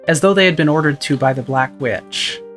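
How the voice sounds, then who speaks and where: A sorrowful young female narrator voiced by a performer telling her story in a quiet, measured way. The pitch sits in an ordinary middle range. Faint music runs beneath the narration. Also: close to an everyday voice. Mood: somber. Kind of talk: visual novel narration.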